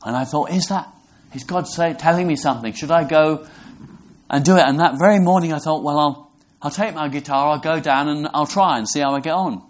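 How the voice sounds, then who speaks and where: Earnest, halting English preacher. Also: male voice, a little high. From Brixham, England. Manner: earnest, halting, restarts words. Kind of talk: sermon to a congregation.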